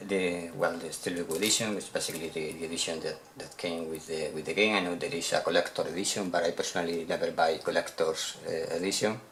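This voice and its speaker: Deep-voiced Spanish man, halting English. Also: fairly even intonation, a bit bright, slight background noise. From Spain. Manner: hesitant, repeats words while searching for English. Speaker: video game collector showing purchases. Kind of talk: casual unboxing vlog.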